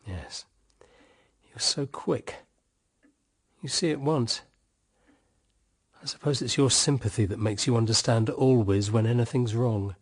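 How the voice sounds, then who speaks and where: An actor with a British accent playing a weary, embittered husband in a radio drama, wry yet tenderly affectionate. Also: male voice, medium pitch, measured pace, long pauses. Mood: tired, gentle. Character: weary, embittered husband, tender toward his young sister-in-law.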